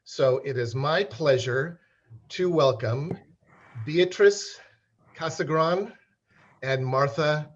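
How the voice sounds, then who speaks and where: A man hosting a video-call interview, talking haltingly with many fillers and repeated words. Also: on the high side, muffled recording. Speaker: host.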